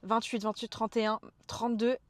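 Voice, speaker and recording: A young woman chatting casually to live viewers in a loud, expressive, slangy way. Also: a little high, moderate pace, slight background noise. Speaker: streamer, travel vlogger.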